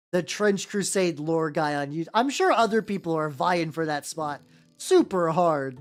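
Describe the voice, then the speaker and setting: A woman streaming casually as a VTuber, chatting loosely and swearing freely while she paints miniatures and answers her viewers. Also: lowish voice. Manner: rambling, chatty and profane, reacting to chat. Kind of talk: casual livestream chat. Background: faint background music.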